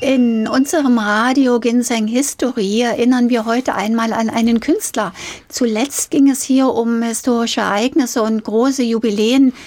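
A woman presenting a radio history feature, asking questions and reading the background in a measured way. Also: fairly high pitch, fairly even intonation, a little mellow, no room sound. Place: radio studio. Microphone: studio mic.

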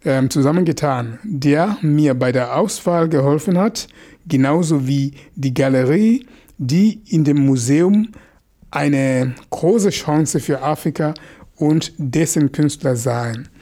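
A man's voice: a little high, slow, mellow. A man from Mozambique whose first language is a local Mozambican language, speaking German in a casual, hesitant commentary with many fillers and restarts. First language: a language of northern Mozambique.

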